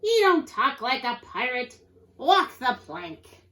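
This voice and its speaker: A woman voicing a gruff, short-tempered male pirate captain in a children's storybook, bellowing in folksy pirate slang. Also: on the high side, expressive, normal speed, natural voice. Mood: outraged.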